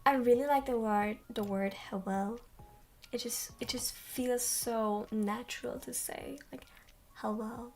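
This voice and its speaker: A young woman chats with her stream in a soft, quiet, high voice. She talks slowly and rambles, filling pauses with ums. She sits some distance from the microphone. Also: fairly even intonation. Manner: slow, rambling, many ums. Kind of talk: soft-spoken livestream chat. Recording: distant from mic.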